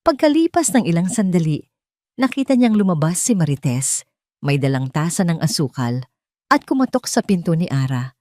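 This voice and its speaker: Woman, lowish voice, scripted story narrator, measured dramatic delivery, dry sound. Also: big pitch swings, a little mellow, no echo.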